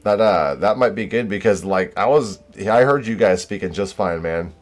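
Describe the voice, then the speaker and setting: Guy on a game stream, lowish voice, casual encouraging chat with viewers. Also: expressive, moderate pace. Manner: encouraging, conversational.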